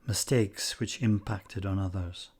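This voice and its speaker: A man leading a guided meditation in calm, measured short phrases with long pauses. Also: low-pitched, breathy edge.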